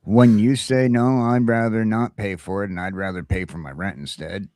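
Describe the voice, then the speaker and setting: A man's voice: fairly low pitch, steady tone, moderate pace, dark tone, no echo. A man in casual chat as a talk show host, blunt and opinionated, swearing and often stammering over repeated words.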